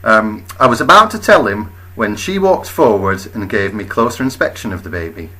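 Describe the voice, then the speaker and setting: A man narrating a comic first-person story as a storyteller, expressive, with wry, deadpan understatement. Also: on the low side, moderate pace, soft background music.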